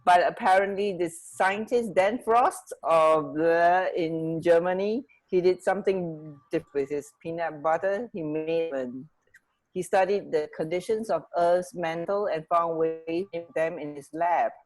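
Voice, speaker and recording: A woman's voice: lowish voice, measured pace, a little mellow, poor audio. A woman co-hosting a radio show, chatting casually and sharing bits of trivia.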